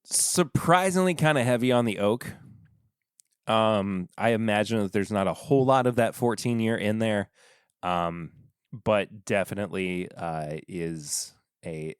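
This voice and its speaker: A man hosting a casual solo podcast from his desk at home, talking in a rambling, halting way that often stops and restarts. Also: low voice, clear, resonant tone, no room sound, studio quality. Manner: rambling, halting, self-interrupting. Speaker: podcast host reviewing whiskey.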